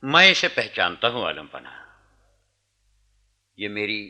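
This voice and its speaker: An actor playing an earnest, loyal court minister in a Hindi historical radio play, deferential, declamatory and solemn. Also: male voice, on the low side, sing-song, slow pace, bright, forceful tone, full character voice. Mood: deferential, pained. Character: earnest loyal court minister pleading before his ruler.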